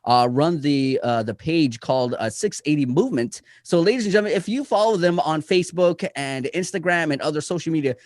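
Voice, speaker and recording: Animated podcast host, dry close sound. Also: male voice, fairly high pitch, fairly quick, hardly any pauses, dry recording. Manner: animated, with many fillers. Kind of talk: casual interview hosting.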